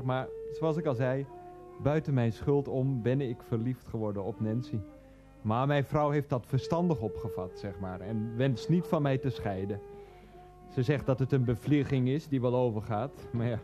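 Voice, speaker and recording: An actor playing a hapless, earnest middle-aged husband and son in a radio play, hesitant, explanatory and easily defensive. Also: male voice, mid-range voice, muffled recording, natural voice. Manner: hesitant, explanatory, petulant with his mother. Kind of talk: radio play acting, monologue and phone dialogue.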